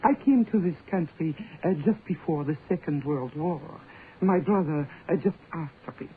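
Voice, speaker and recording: Anxious french lady, hesitant, earnest, swoopy pitch, tinny noisy old radio drama. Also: expressive, moderate pace. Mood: anxious, apologetic. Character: anxious, deferential French woman, devoted sister. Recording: tinny band-limited old radio, some noise.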